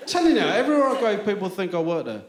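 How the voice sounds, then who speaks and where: A British man doing a stand-up set in a theatre, telling stories with deadpan punchlines. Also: sits high, rapid-fire, a faint crowd, slight background noise. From Britain. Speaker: stand-up comedian. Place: large theatre with live audience. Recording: stage mic in theatre. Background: loud audience laughter.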